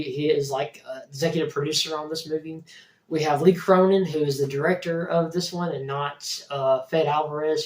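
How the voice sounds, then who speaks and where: Hoarse male reviewer with a cold. Casual and rambling, often restarting. Recorded in an echoey room from a distance. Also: fairly high pitch. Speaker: movie reviewer, channel host. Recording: roomy echo, distant mic.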